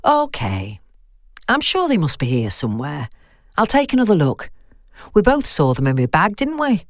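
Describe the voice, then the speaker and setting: Female reader as concerned friend, frank, brisk, woman on a phone call, horror story dialogue, dry recording. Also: low-pitched, wildly swooping pitch, dry recording. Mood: puzzled, caring.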